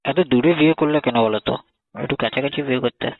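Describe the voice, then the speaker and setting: A man's voice: medium pitch, fast, gravelly. A man with a Bengali accent chatting casually and intimately on a phone call, asking questions in a coaxing way. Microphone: phone line. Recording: tinny narrowband phone call recording.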